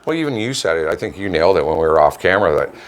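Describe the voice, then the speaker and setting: A man's voice: deep voice, very animated, rapid-fire. A middle-aged man with a Canadian accent, co-hosting a casual chat in a rambling, colloquial way. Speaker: local online radio co-host. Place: home studio.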